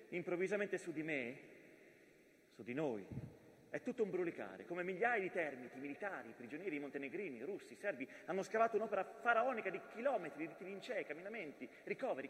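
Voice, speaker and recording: An actor playing a mountain that recounts war as a grave witness in a theatrical monologue. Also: male voice, on the high side, close to an everyday voice. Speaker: stage actor. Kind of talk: theatrical monologue, scripted narration. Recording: echoey hall with long reverb.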